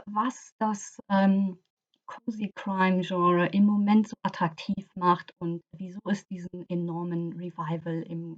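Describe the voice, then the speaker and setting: A woman's voice: on the low side, lo-fi sound. A woman hosting a book podcast, reading her introduction with many hesitation fillers. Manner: reads with frequent hesitation fillers. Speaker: host, culture and travel journalist.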